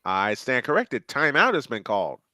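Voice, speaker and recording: Bright, excitable play-by-play man. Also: medium pitch, moderate pace, dry recording, studio quality. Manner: excited, rising calls on big plays. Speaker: play-by-play commentator. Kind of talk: live game commentary on a fan stream.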